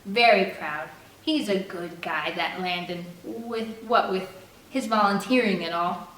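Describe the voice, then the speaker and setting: A woman's voice: mid-range voice, a little slow, a bit bright, natural voice. A woman voicing a sarcastic teenage boy who teases with mock-earnest politeness. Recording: echoey room, distant mic, faint air-conditioner hum.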